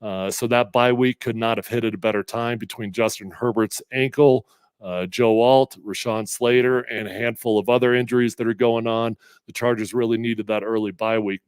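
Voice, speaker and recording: A middle-aged man hosting casual sports talk, a little congested, energetic and emphatic as he reads comments and makes predictions. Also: fairly low pitch, smooth, no echo. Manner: energetic, conversational, emphatic with fillers. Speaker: sports radio host previewing a game.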